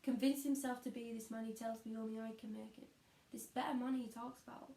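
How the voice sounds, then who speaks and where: A woman's voice: a little high, flat delivery, a little fast, vocal fry, dark tone. A woman playing a weary, disillusioned young schoolteacher in an introspective, confiding stage monologue. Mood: reflective, resigned. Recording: echoey room.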